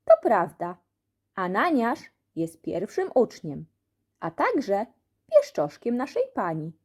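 A woman reading a children's storybook aloud as an expressive audiobook narrator. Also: medium pitch, takes it slowly, slightly rough. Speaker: audiobook reader.

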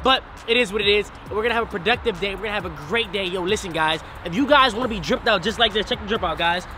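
A young man with a stuffy, nasal voice hosts a hyped, slangy vlog and keeps calling his viewers guys. His pitch sits high for a man. He talks over clear road and car noise. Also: racing, bright, forceful tone. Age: young adult. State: stuffy-nosed from a sinus infection. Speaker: vlog host. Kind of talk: casual vlog chat. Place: outdoors on a road, then in a car.

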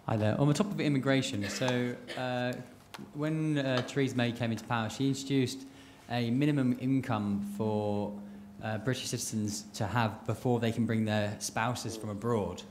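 A man's voice: mid-range voice, steady tone, moderate pace, background noise. A young male student in a lecture hall audience, asking a question into a handheld mic, hesitant and full of fillers. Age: young adult.

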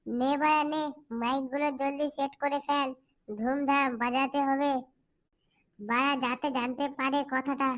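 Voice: high-pitched, level tone, measured pace, a bit bright, soft background music. A performer voicing a pushy, foul-mouthed young village lad in a comic cartoon, with crude bossy slang.

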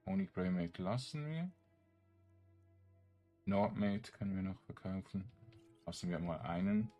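Deep voiced guy doing a let's play, real slow, mumbly thinking out loud, halting. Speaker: gaming streamer. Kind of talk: casual let's play commentary, thinking aloud.

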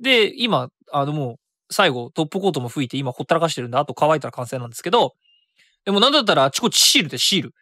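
A man's voice: fairly high pitch, big pitch swings, very fast, bright, forceful tone, no echo, clean studio sound. A man hosting a web radio show solo in a casual, chatty style, often interrupting himself and voicing his own thoughts. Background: background music.

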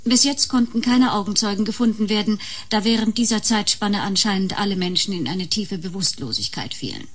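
A woman playing a sober newsreader in a sci-fi radio drama, formal, factual and husky. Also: medium pitch, fairly quick, few pauses, natural voice. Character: sober female newsreader of an emergency bulletin. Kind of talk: scripted news reading in a radio drama.

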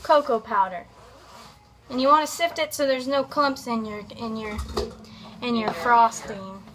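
Young woman, high voice, slow pace, playful home cooking host, casual, goofy asides, light kitchen noise. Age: young adult. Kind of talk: casual comedic cooking tutorial. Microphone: camera on counter at about arm's length. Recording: background kitchen noise, clicks.

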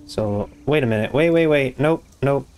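A hoarse young man with a raspy voice, casually commenting on his game as he builds, muttering to himself and swearing when things go wrong. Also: medium pitch, moderate pace, darkish tone. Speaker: gaming YouTuber. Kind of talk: casual let's-play commentary.

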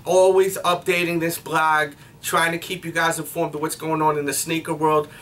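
A man's voice: a little high, level tone, normal speed, fairly bright tone, slight background noise. A man in his thirties with an American accent hosting a casual sneaker review, using slangy hype-man patter and catchphrases, recorded indoors a few steps from the camera. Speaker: sneaker review show host. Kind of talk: casual vlog product review. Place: small indoor room.

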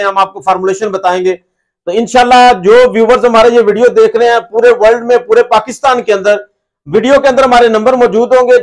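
High-pitched Pakistani man explaining. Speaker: machinery supplier, interview guest. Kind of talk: explanatory interview talk. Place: small brick-walled workshop room indoors. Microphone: lapel.

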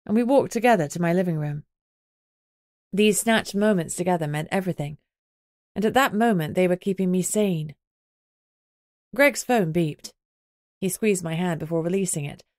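A woman's voice: fairly low pitch, normal speed, long pauses, darkish tone, no echo, clean studio sound. A woman reading scripted first-person audiobook narration.

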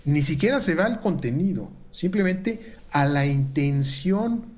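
A middle-aged Mexican man, a university researcher, giving measured, formal analysis from a room at home over a video call, with frequent filler pauses. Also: fairly high pitch, lively intonation, a little mellow. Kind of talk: analytical commentary. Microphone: video call webcam.

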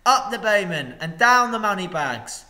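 A man voicing a crowd of angry townsfolk, loud and clamouring. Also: high-pitched, animated, normal speed, pitched above the performer's own voice. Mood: chanting.

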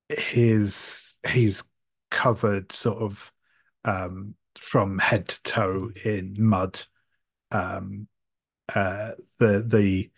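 A man with a lowish voice improvises a scene as a player in a role-playing game. He speaks very slowly and hesitantly, pausing often, in a dry sound. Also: dry recording. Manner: hesitant, searching, many pauses. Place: indoor room at home, video call.

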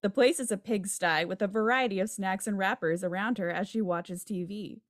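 A woman's voice: medium pitch, moderate pace, no echo. Dry-sounding woman reading stage directions. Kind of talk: scripted narration. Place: home room on a video call.

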